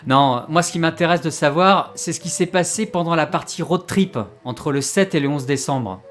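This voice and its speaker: A man's voice: fairly high pitch, normal speed, natural voice. A man playing a gloomy, wry time traveller in an audio drama, easily exasperated and given to hesitant explanations. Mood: inquisitive. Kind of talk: French audio drama acting.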